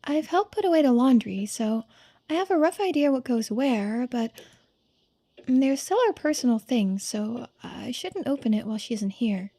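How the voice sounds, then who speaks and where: A woman voicing a polite, thoughtful young game character who muses aloud in a soft-spoken, reflective way. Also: a little high, lively intonation, moderate pace, pitched above the performer's own voice. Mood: musing. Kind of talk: voiced game dialogue and narration.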